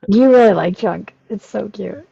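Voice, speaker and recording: A woman's voice: medium pitch, moderate pace, gravelly, dark tone, breathy edge, no echo, soft background music. A woman chatting casually as a table read cast member, on a dull, muffled recording. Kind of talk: casual chat.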